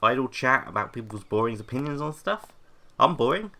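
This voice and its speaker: A man's voice: medium pitch, expressive, brisk, sharp tone. A man playing an awkward, apologetic, hesitant young intern hosting a podcast in an audio comedy. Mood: deadpan, self-deprecating. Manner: nervous, self-deprecating, hesitant. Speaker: podcast host. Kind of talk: scripted audio comedy drama, mock podcast.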